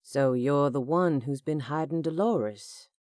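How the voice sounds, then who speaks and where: A woman voicing a cruel, volatile male villain who taunts slowly and then bursts into screams. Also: deep voice, a little mellow, no room sound, clean studio sound. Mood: taunting. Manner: slow, taunting, erupting into screams.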